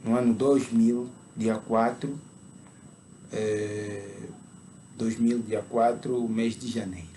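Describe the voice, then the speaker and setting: A man in his twenties with an Angolan Portuguese accent, giving a conversion testimony as a sermon and speaking slowly and deliberately, at times with emphatic preaching. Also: fairly low pitch, steady tone, warm tone, slight background noise. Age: 20s.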